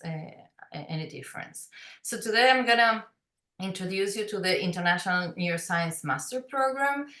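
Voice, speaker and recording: A woman's voice: fairly low pitch, pushed and bright, echoey room. A woman with a Uruguayan Spanish accent, co-head of an academic program, explaining it in an online presentation with frequent hesitations. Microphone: video call.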